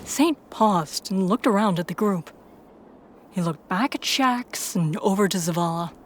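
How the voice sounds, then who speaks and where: A woman's voice: mid-range voice, expressive, a little slow, a little hiss or hum. A woman reading scripted first-person story narration as the narrator and protagonist of an audio drama.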